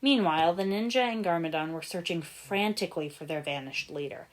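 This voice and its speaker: A woman narrating a story from a script in an expressive storytelling style. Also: on the low side, fairly quick. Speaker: fan fiction narrator.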